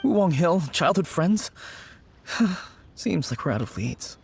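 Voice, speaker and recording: A man's voice: sits high, expressive, slow pace, gravelly, darkish tone, slight background noise, heavily acted. An actor playing an anxious young man in a game, haunted by the loss of his childhood friend, speaking in a subdued, hesitant way. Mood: worried. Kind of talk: game voice acting.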